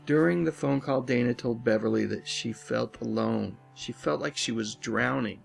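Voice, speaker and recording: A man hosting and narrating a scripted video essay, with earnest, dramatic phrasing and drawn-out endings. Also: mid-range voice, vocal fry. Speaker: host, pop culture commentator. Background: music bed.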